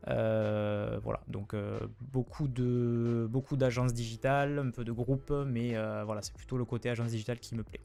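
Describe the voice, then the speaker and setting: Brisk, chatty male livestream host. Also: medium pitch, fairly even intonation, gravelly, warm tone. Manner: brisk, chatty, speeds up when greeting the chat. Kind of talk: livestream hosting.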